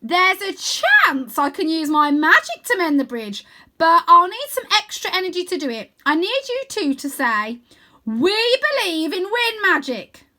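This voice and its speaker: A woman voicing a cocky, joking young boy adventurer in a storybook, calling out loudly and theatrically. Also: extremely high pitch, very animated, unhurried, voice pushed up, heavily acted. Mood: confident.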